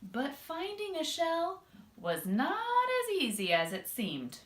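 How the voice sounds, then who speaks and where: A woman doing an expressive children's read-aloud, drawing out words and speaking straight to young listeners. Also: high-pitched, noisy background. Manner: expressive, drawn-out emphasis, addresses young listeners directly. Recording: echoey room.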